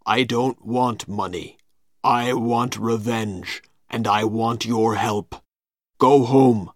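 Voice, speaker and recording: A man reading a storybook aloud, voicing a cold, menacing villain who speaks in a low, measured way. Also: steady tone, no echo, studio quality. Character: menacing male villain Injun Joe, vengeful.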